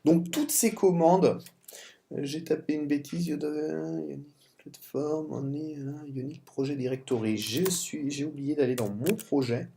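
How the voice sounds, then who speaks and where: A man's voice: fairly high pitch, unhurried, vocal fry, warm tone, slight background noise. A man with a cold and a French accent, talking through a screen-recorded coding tutorial, often stumbling and restarting words. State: has a cold. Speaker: software tutorial presenter.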